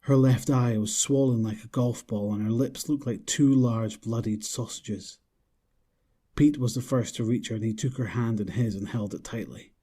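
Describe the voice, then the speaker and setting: A man's voice: mid-range voice, steady tone, normal speed, warm tone, slightly rough. A man narrating a scripted horror drama in a dramatic storytelling style.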